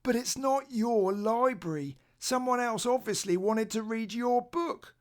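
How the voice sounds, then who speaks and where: Woman playing a patient big brother, slow-paced. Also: fairly high pitch, lightly acted. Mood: reasoning. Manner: calm, coaxing, explaining. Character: patient, reasonable older brother, a young boy. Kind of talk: children's storybook read aloud.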